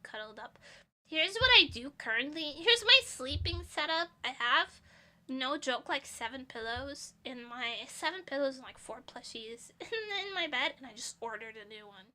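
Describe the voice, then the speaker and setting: A young woman from Portugal chats casually with her stream viewers, giggling often. Her voice sits high and sounds very bright and sharp. She swings from loud excited bursts to quiet mumbles. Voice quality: giggly, chatty, drifts between loud bursts and soft mumbles. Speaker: vtuber streamer. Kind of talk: casual stream chat answering chat.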